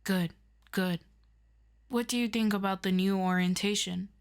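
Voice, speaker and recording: A woman playing a calm, formal superior of a superhero team in an audio roleplay, asking measured, probing questions. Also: mid-range voice, natural voice. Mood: courteous, probing. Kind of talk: scripted audio roleplay addressed to the listener.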